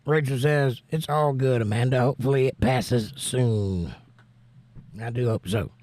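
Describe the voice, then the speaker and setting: Hoarse American man hosting a livestream. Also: medium pitch, unhurried, a little mellow. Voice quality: hoarse, strained. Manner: folksy, joking, preachy asides. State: laryngitis, losing his voice. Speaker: livestream host. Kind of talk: casual livestream chat, reading viewer comments.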